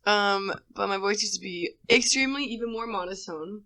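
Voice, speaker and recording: A young woman from the United States with a deep voice, joking around as a podcast co-host. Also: moderate pace, bright, forceful tone. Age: young adult. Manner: playful, jokey. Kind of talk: casual chat.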